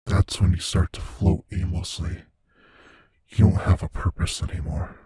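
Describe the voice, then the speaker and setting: A man voicing an ancient immortal monster in a horror ASMR roleplay, hushed and breathy, slow and menacing, world-weary yet savoring fear. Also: steady tone, a little hiss or hum, lightly acted. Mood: weary, brooding. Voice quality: hushed, husky, breathy low voice. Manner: slow, menacing, philosophical, intimately taunting. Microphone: close studio mic, dry.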